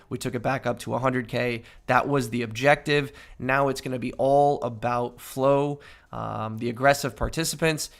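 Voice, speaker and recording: Male streamer host with a gravelly, mid-pitched voice, slightly nasal from a cold, walking viewers through chart analysis. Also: fairly bright tone. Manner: explanatory, often adds "right?". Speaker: crypto trading livestream host. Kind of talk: casual chart analysis with chat.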